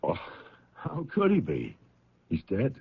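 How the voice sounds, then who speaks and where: Nervous evasive suspect, gravelly, swooping pitch, stammering and halting, radio drama, muffled old recording. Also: male voice, wildly swooping pitch, full character voice. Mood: evasive, flustered. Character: evasive, flustered man under police questioning.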